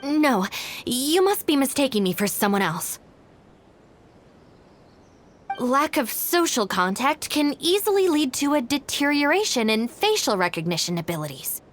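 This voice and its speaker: A woman's voice: sits high, very animated, moderate pace, slight background noise. A woman voicing a composed, practical and blunt young woman in a video game, speaking articulately and matter-of-factly. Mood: dry, brisk. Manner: matter-of-fact, articulate, cool-headed.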